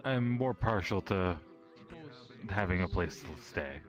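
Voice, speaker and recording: A man's voice: low-pitched, expressive, slow pace. A man playing a guarded, laconic hobbit beekeeper in a fantasy roleplay, answering in a dry, reserved way. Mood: dry, wary. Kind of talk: improvised fantasy roleplay acting. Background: background music.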